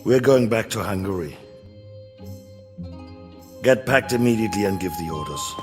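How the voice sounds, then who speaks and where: A man's voice: lowish voice, animated, moderate pace, a little mellow, soft background music, close to an everyday voice. A man playing a furious, foul-mouthed medieval king in a video game cutscene, imperious and sarcastic. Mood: cold anger. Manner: imperious, sarcastic, erupting into bellows.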